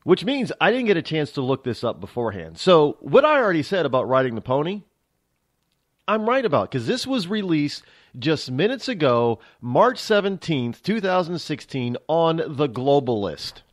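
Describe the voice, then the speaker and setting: A man's voice: a little high, big pitch swings, normal speed. A man hosting a talk radio show, talking in a rambling, boastful way with lots of fillers.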